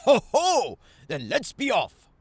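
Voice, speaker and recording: A man's voice: high voice, wildly swooping pitch, slow, heavily acted. A man playing a jolly, kindly old grandfather in an anime-style audio drama.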